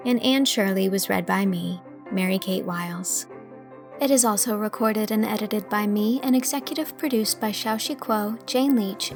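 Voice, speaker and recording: Creaky-voiced female storybook narrator. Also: mid-range voice. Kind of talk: scripted audiobook narration.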